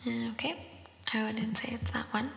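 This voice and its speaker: A young woman whispers softly and unhurriedly, reading quiz questions and reasoning through them aloud. Her whisper is bright with a gravelly edge and comes through an effect. It rings out in a roomy, echoing space with a long tail. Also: a little high, level tone. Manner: soft unvoiced whisper, slow and thinking aloud. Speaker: ASMR creator. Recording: roomy echo with long reverb.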